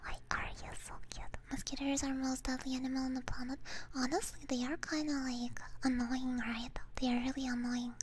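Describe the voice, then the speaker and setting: A woman whispering breathily and gently into a close binaural microphone, chatting casually with her stream viewers. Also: high-pitched, flat delivery, normal speed, hardly any pauses. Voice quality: breathy, mostly unvoiced whisper. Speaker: ASMR live streamer. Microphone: close binaural ASMR microphone. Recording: faint background noise, soft clicks.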